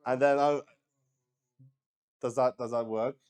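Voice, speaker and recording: A man hosting a solo tabletop roleplaying game in casual, halting live-stream chat, thinking aloud and repeating words. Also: mid-range voice, fairly even intonation, darkish tone, clean tone. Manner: swears casually.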